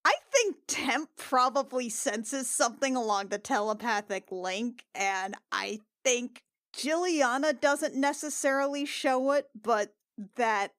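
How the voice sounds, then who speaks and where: A woman's voice: a little high, animated, slow pace, fairly bright tone, no echo. Woman improvising game narration, dry sound. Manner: tentative, descriptive, thinking aloud. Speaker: player in a tabletop role-playing podcast.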